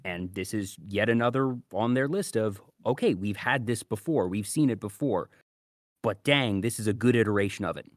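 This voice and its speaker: Low-pitched man in casual podcast chat. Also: moderate pace, dry recording, clean studio sound. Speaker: podcast host.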